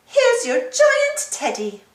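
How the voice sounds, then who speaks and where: A woman's voice: very high-pitched, wildly swooping pitch, takes it slowly, reverberant, voice pushed up, full character voice. A woman voicing a cheery female rabbit who runs a fair stall and calls out showily in a storybook. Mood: showy. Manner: loud showy barker calls.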